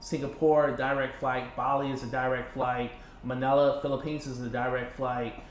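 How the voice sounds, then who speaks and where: Interview guest, male, 30s, from Michigan; animated casual talker, mid-pitched voice, roomy indoor sound. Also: normal speed, slight background noise. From Michigan, USA. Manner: animated, laughing, many "you know" fillers. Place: indoors in a condo. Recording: echoey room with faint ambience.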